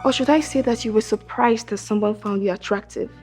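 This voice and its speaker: A woman's voice: a little high, a little fast, a little mellow, soft background music, natural voice. A woman acting a proud, scornful, hot-tempered young princess in a screen drama, with a Nigerian accent. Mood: bitter scorn.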